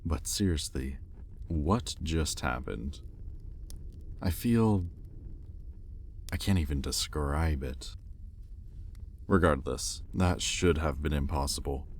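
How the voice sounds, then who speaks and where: Demon captor for audio roleplay, male actor: very deep pitch, sardonic and teasing, arrogant, curious, intimate delivery, slight background noise. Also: slow pace, pauses often, exaggerated voice. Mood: bewildered, shaken. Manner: sardonic, teasing, conversational with swearing.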